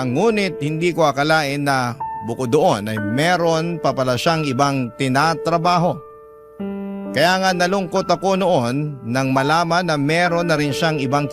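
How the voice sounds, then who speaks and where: Man, Filipino accent, drama podcast narration, higher pitch, scripted storytelling, music bed. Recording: music behind the voice.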